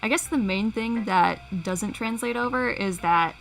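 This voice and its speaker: Giggly woman with a bright voice. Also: on the high side, a little slow, creaky, rough recording. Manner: giggly, self-amused. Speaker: livestream panel guest, fan story creator. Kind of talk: casual chat.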